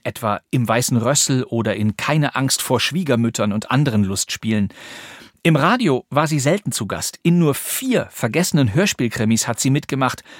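A man's voice: mid-range voice, no room sound. A man hosting a podcast with scripted but conversational narration, recorded close in a studio. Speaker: podcast host. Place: dry studio.

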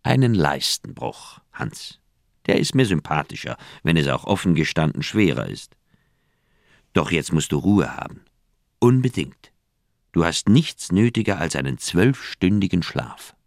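An actor playing a troubled older surgeon in a radio crime drama, recounting in a deliberate, reflective manner with dramatic pauses. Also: male voice, deep voice, sing-song, vocal fry, no echo, studio quality, exaggerated voice. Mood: confiding, firm.

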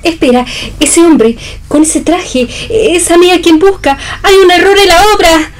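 Actress as a high-pitched, scorned young woman. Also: a little slow. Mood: urgent. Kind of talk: theatrical read radio play in Spanish.

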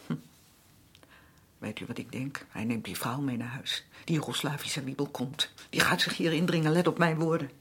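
An actress playing a weary, aggrieved working-class wife in a radio drama, middle-aged, with a colloquial, complaining way of talking. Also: female voice, low voice, normal speed, slight background noise, lightly acted. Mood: bitter, aggrieved. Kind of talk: naturalistic radio drama acting.

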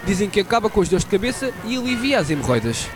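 A man's voice: high voice, very animated, fast. A man playing a fussy, pompous newspaper clerk in a student radio drama, with music behind.

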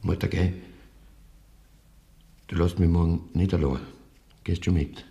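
An actor playing a condemned poacher in a German radio play, a wistful, plain-spoken rustic son with a Bavarian accent. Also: male voice, low voice, steady tone, measured pace, vocal fry, mellow. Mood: grim, anxious.

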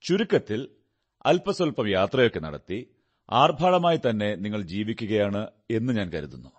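A man playing a shrewd, self-assured detective in a radio drama, speaking in a deliberate, courteous, analytical way. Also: fairly low pitch, big pitch swings. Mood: probing, businesslike. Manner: deliberate, deductive, theatrical delivery. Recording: radio drama with music behind. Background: music.

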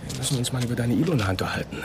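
Fast-talking, insistent informer. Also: male voice, mid-range voice, expressive, gravelly, heavy background noise. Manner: pressing, insinuating, urgent. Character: insistent, manipulative male socialist informer pressing an acquaintance. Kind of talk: radio drama acting.

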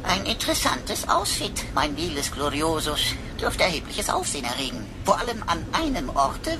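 A woman's voice: mid-range voice, natural voice, poor audio. A woman playing a sardonic talking computer sidekick in a sci-fi radio drama, mocking and theatrical, with flowery wit. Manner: mocking, theatrical, mixes slang with pompous phrasing.

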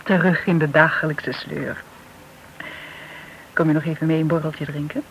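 A woman acting in a radio play as a businessman's confiding friend, tentative and at times soft-spoken. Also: sits low, fairly even intonation, moderate pace. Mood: light, friendly. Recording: dull, noisy old radio recording.

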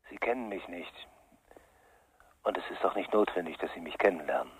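An actor playing a cold, secretive male blackmailer in a German radio crime drama, quiet and insistent. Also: low-pitched, expressive, brisk, a little hiss or hum, poor audio. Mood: cold, menacing.

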